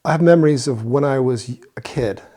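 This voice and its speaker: A man from Canada, interviewed for a documentary, recalling things in a reflective, unhurried way. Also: mid-range voice, warm tone.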